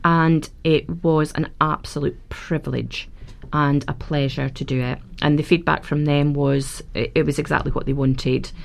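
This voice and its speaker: A woman guest on a radio show, chatting warmly about her work as a celebrant, with frequent fillers and restarts. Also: sits low, fairly even intonation, moderate pace, creaky. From Scotland. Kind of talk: casual radio interview. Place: radio studio. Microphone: studio microphone.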